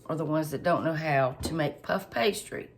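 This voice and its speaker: A woman, a little hoarse and stuffed up, chatting homily through a cooking tutorial for her viewers. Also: deep voice, normal speed, a little hiss or hum. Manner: homey, chatty, lots of fillers, addresses viewers directly. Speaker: home cook channel host.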